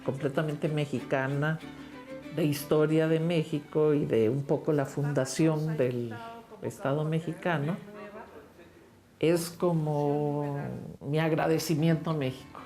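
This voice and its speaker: An older woman from Costa Rica, a film director, talking about her work in a reflective, unhurried interview. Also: deep voice, steady tone, over music.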